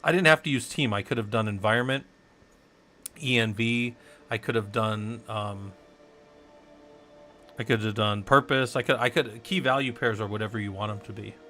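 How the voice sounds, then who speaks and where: A man from the American Southwest works through a casual tech tutorial on a live stream. He thinks aloud, rambles a little and breaks off to chat with viewers. His voice is fairly low but bright and forceful, with faint music behind him. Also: normal speed, long pauses. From Southwest United States. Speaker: tech streamer teaching Linux monitoring.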